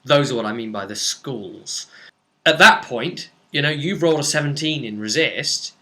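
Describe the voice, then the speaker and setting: A man with a very bright, sharp-edged voice at an average male pitch talks through game rules off the cuff. He is chatty and emphatic, thinking aloud as he goes and often checking that the listener follows. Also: moderate pace. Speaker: tabletop game explainer answering a subscriber. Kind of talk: casual unscripted rules explanation.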